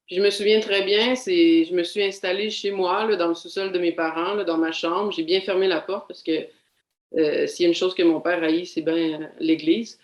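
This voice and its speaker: A young adult woman with a Québécois accent gives a candid, reflective personal testimony, pausing often to find her words. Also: lowish voice, fairly even intonation, roomy sound. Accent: Québécois French. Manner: candid, reflective, halting with frequent fillers. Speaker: doctoral student giving a faith testimony. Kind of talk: personal testimony in a video seminar.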